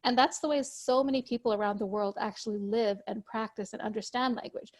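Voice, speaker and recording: A woman whose first language is Urdu speaks in a somewhat high voice with a gravelly, fry-laden edge. She explains things in a measured, thoughtful way, now and then restarting a phrase as she goes. It sounds like a video call. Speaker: guest author.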